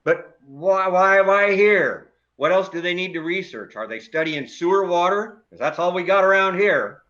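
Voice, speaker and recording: A man acting a loud, defensive, blustery bickering neighbor in a comedic play. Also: sits high, lively intonation, normal speed, lo-fi sound. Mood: stunned, indignant. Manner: blustery, exclamatory, profane.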